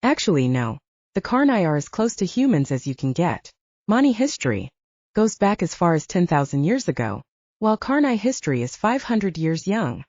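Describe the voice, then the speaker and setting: A woman voicing a guarded, knowing young female vampire in an audiobook, soft-spoken and explanatory. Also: fairly low pitch, sing-song, normal speed, no echo, muffled recording. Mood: earnest, explaining. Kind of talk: audiobook character dialogue.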